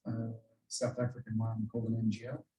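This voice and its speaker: A man's voice: fairly low pitch, monotone, a little fast, warm tone, recorded from a distance. Australian man speaking from across a roomy hall. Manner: chatty, frequent "you know" fillers. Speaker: pilot speaking to a historical society. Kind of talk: casual question-and-answer talk. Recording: roomy echo, voice away from the mic.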